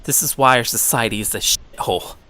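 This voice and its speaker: Male actor as brooding high school outcast narrating his story: bitter, self-pitying, mid-pitched, sharp tone. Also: a little fast, dry recording, close to an everyday voice. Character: bitter, downtrodden teenage schoolboy telling his own story. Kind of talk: first-person dramatic narration.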